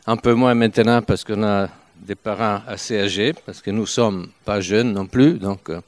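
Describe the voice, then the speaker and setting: A man's voice: on the low side, fairly even intonation, no room sound. Older Italian man preaching in French. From Italy. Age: 70s. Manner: halting, with frequent restarts and pauses. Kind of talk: informal sermon.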